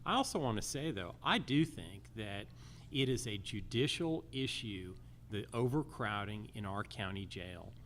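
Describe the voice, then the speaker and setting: A man's voice: lowish voice, expressive, measured pace, a bit bright. A man speaking as a judicial candidate at a forum, making emphatic, repetitive points in lists. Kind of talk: campaign forum answers, public speaking. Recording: live forum with faint background noise.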